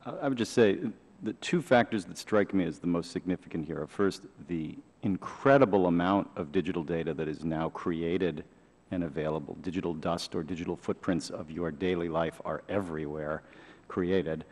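A man's voice: low-pitched, moderate pace, darkish tone. A middle-aged man testifying at a hearing, laying out his points in order into a table microphone. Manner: orderly, lays out points one by one. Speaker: hearing witness. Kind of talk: congressional testimony. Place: large hearing room. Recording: reverberant hearing room.

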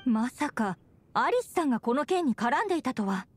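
A woman voicing a composed young female knight leader in an anime-style game, formal and measured. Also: high voice, sing-song, slightly rough, soft background music, exaggerated voice. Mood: composed, serious.

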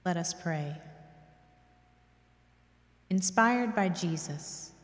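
A middle-aged woman giving a solemn, measured devotional reading as a prayerful reader at a church service. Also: on the low side, animated. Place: large dim church, at a lectern. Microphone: gooseneck lectern microphone. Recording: echoey church with long reverb.

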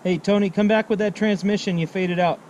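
A middle-aged American man chatting casually as a ham radio operator outdoors, halting and laid-back, repeating his phrases. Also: high voice, steady tone, very noisy. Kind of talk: casual radio contact chat. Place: outdoors at a picnic table on a mountain.

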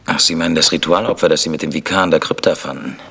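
An actor playing a matter-of-fact, slightly sardonic archaeologist in a radio crime drama. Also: male voice, extremely low pitch, fast, a bit bright, a little hiss or hum. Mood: skeptical. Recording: reverberant hall, faint music.

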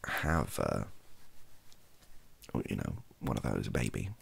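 Very deep, gravelly, soft-spoken young man. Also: very animated, slow. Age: young adult. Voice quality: husky, gravelly. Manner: hesitant, self-correcting, wry. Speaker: ASMR comedy video creator. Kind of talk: improvised soft-spoken rambling to camera. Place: small home bedroom office.

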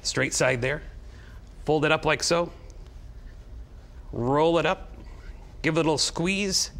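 Man in his forties, slightly high voice, casual how-to demonstrator, jokey, chatty. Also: slow pace, a bit bright. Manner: chatty, joking, step-by-step instructing. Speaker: guest towel-folding demonstrator and seller. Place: TV studio at a demonstration table.

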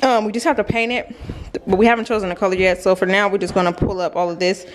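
A woman's voice: mid-range voice, steady tone, fast. Young woman vlogging casually over background noise. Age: young adult. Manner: informal, addresses viewers directly. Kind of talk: casual vlog chat, narrating a house tour. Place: indoors, rooms of a house under renovation. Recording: clear background noise, clicks.

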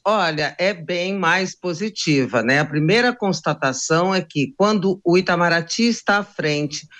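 An older Brazilian woman with a low voice gives political commentary. She talks conversationally, as a seasoned pundit would from her study. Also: a bit bright. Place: home study with bookshelves. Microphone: branded desk microphone on a video link.

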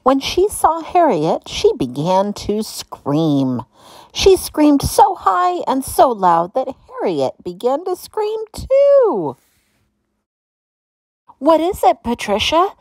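A woman reading a children's picture book aloud as storyteller, with dramatic, deliberate emphasis. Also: high voice, huge pitch swings, darkish tone, dry recording.

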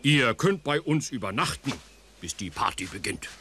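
A man voicing a small, good-natured bear in a children's audio play, speaking in a halting, deliberate way. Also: medium pitch, sing-song, a crowd in the background. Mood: friendly.

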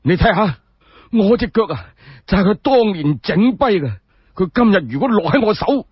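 Man voicing a wry gatekeeper, animated and high. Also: moderate pace, husky, dry recording, lo-fi sound. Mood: feigned vengeance. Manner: teasing, colloquial, pointed. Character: wry, lame gatekeeper, a former convict.

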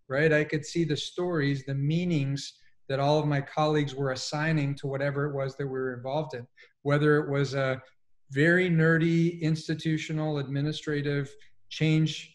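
Male panelist, forties, articulate storyteller, restrained intonation. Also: on the high side. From Colombia, moved to the United States as a child. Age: 40s. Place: living room at home.

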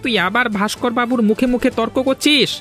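Cartoon sidekick: shrill-high, quick, hectoring, accusing village busybody. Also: male voice, fairly bright tone, faint music behind. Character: meddling, accusing male sidekick of a village boss. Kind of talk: cartoon voice acting.

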